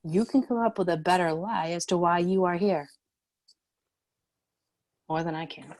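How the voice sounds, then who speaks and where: A woman's voice: fairly low pitch, a little slow, darkish tone, lightly acted. Woman as a direct, wary refugee leader. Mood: wary, pressing. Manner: direct, questioning. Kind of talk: screenplay table read.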